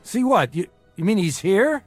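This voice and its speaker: An actor playing a world-weary, middle-aged small-time bookie, wisecracking with breezy bravado in a radio crime drama. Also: male voice, high voice, big pitch swings, moderate pace, music in the background. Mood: uneasy, defiant.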